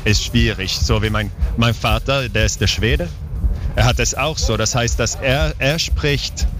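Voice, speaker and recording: A Swedish man in his forties, a touring band's singer, chatting in halting second-language German with a Swedish accent and playful jokes at an outdoor festival. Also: medium pitch, music in the background. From Sweden. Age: 40s. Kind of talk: casual interview chat.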